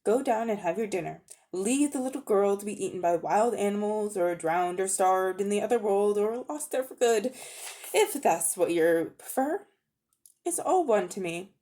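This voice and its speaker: A woman's voice: mid-range voice, lively intonation, normal speed, dark tone, natural voice. A woman voicing a haughty, cold-hearted older magician uncle in a dramatic storybook reading, condescending and coolly menacing. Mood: cold, goading.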